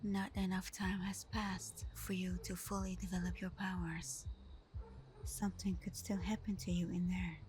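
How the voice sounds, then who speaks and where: A woman playing a caring, hurt vampire girlfriend in an ASMR roleplay, speaking softly and tenderly, often half whispering, with hesitant pauses. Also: on the low side, level tone, soft background music, natural voice. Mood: worried, hurt. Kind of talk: ASMR roleplay, intimate scripted acting.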